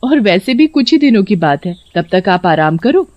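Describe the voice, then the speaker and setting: A woman's voice: mid-range voice, sing-song, moderate pace, no room sound, dull audio, natural voice. A woman voicing a dutiful, earnest young village daughter in a cartoon story, emphatic in manner. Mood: earnest, reassuring.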